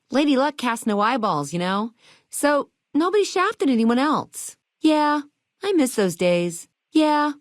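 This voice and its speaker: A high-pitched, bubbly young shopkeeper from a video game. Her delivery is sassy and theatrical, swinging widely in pitch with retro slang, and the recording is close and dry. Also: no echo. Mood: nostalgic, chatty.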